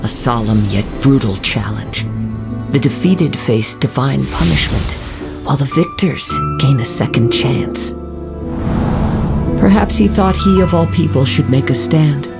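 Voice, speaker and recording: Young male samurai, calm, measured, solemn, music behind. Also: mid-range voice, gravelly. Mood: reflective. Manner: measured, soft-spoken, solemn. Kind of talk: game voice acting. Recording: game audio over music.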